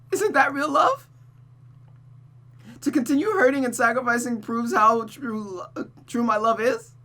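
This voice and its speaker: A woman's voice: high voice, a bit bright, pitched above the performer's own voice. A woman voicing a desperate, sobbing young woman who pleads and stammers, read from a visual novel. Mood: wounded devotion. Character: Rosa, sobbing desperate young woman devoted to cruel Mother. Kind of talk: visual novel character reading.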